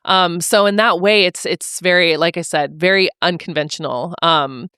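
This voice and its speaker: Soft-spoken woman, smooth and close. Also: on the low side, normal speed, dry recording. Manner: thoughtful, many fillers and restarts. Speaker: author guest. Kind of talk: casual podcast conversation. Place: mobile podcast studio.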